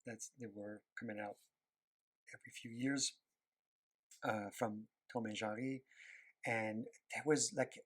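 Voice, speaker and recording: Low-voiced Québécois man rambling while drawing. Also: steady tone, a little slow, pauses often. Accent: Quebec French. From Quebec, Canada. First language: French. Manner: rambling, searching for words, many fillers. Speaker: animator and illustrator. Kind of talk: casual talk while drawing.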